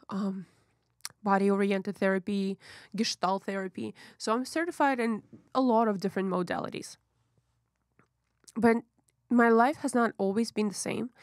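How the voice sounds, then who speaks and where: A young Russian woman, a psychologist and podcast host, telling her life story in an open, unhurried way with frequent fillers. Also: mid-range voice, no echo, studio quality.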